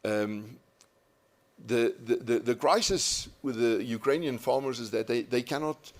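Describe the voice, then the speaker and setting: A man speaking as a conference panelist, telling an account with emphatic, deliberate repetition. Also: mid-range voice. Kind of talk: panel discussion, anecdotal.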